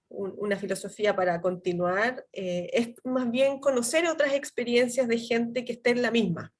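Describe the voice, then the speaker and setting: A woman introducing herself to a group on a video call, speaking thoughtfully and restarting her sentences as she searches for words. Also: a little high.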